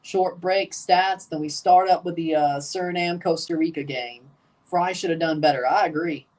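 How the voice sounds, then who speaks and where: A man streaming a live match watchalong, chatting casually and calling goals with excitement while guarding a strained voice. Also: fairly high pitch, normal speed, distant mic, rough recording.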